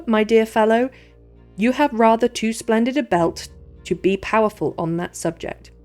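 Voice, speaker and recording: A refined, cheeky male swordsman, put on by a woman reading aloud. The voice sits a bit higher than her own and keeps a posh affectation. Faint music sits behind him. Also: a little mellow, natural voice. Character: cheeky posh male musketeer, would-be priest.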